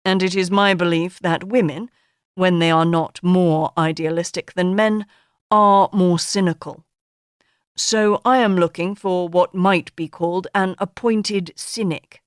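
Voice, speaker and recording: A woman's voice: on the low side, fairly even intonation, a little slow, clean tone, no room sound, studio quality, natural voice. A woman voicing a pompous, grandiose middle-aged male tycoon in an audiobook, speaking in a declaiming, orotund manner.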